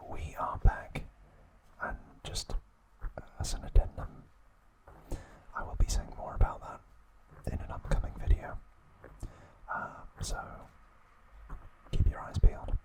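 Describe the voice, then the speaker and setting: A man hosting ASMR, whispering in hushed, drawn-out short phrases with frequent pauses. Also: poor audio. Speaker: host of an ASMR channel. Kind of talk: ASMR, whispered trivia talk and reading aloud.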